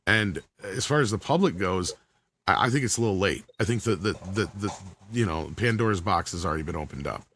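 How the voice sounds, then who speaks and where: A man with a fairly low voice talks loosely on a podcast panel. He rambles a bit and thinks aloud as he goes. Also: expressive, fairly quick, a bit bright, a little hiss or hum. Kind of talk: casual panel chat.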